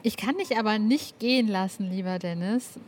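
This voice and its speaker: Female podcast host, mid pitch, chatty and enthusiastic, informal wording, faint background noise. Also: moderate pace. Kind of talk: casual conversational interview.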